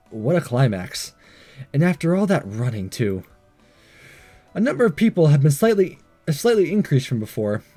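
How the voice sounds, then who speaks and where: A man's voice: mid-range voice, warm tone. A man doing a let's play, reading a visual novel aloud with casual, sarcastic asides and the odd stumble over words. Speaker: let's player.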